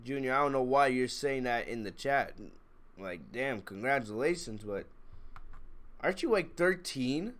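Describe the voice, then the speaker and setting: A man's voice: medium pitch, lively intonation, measured pace, a bit bright. A man with a congested, under-the-weather voice giving blunt, emphatic, opinionated commentary as a casual livestream host. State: congested, sick with a cold. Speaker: wrestling review livestream host. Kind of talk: casual live commentary, chatting to viewers.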